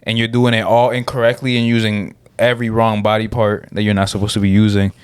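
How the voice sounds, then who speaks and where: A young man from the Dominican Republic co-hosting a podcast, talking in a relaxed, rambling, slangy way with lots of fillers. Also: on the low side, quick pace, smooth, no room sound. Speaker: podcast co-host. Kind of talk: casual podcast chat.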